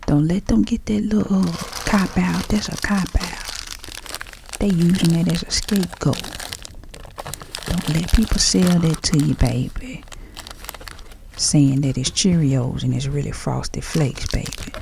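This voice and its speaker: A woman with a Southern US accent doing chatty ASMR, speaking softly and half-whispering like a folksy auntie giving advice. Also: on the low side, measured pace, creaky, background noise. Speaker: ASMR creator packing orders.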